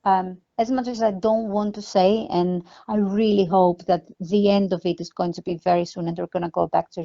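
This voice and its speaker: A woman with a fairly low voice gives earnest, measured interview answers as an executive. The sound is dull and lo-fi, as from a phone call. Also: creaky, warm tone. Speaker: film production company CEO being interviewed.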